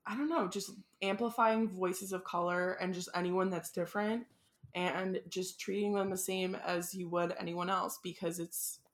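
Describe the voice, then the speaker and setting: A woman guest on a podcast, talking casually and warmly with constant "like" fillers. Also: on the low side, steady tone, moderate pace. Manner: gushing, filler-heavy, lots of "like".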